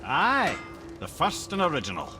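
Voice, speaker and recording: An actor playing a wise, wry older Scottish storyteller who recounts Norse myths in a theatrical, eloquent way for a video game. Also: male voice, on the high side, wildly swooping pitch, over music. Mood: musing, knowing. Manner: theatrical, eloquent, measured pauses.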